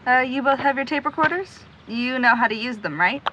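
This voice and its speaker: A woman's voice: high-pitched, a little fast, sharp tone, muffled recording. A woman playing an optimistic young searcher in an audio drama, upbeat and reassuring in a naturalistic style. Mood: upbeat, persuasive. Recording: clear background noise.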